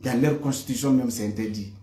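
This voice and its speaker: A man's voice: mid-range voice, fairly quick, warm tone, reverberant, faint music behind. A man delivering an impassioned monologue to his viewers, heated and repetitive, often stammering on repeated words. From West Africa. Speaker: online commentator.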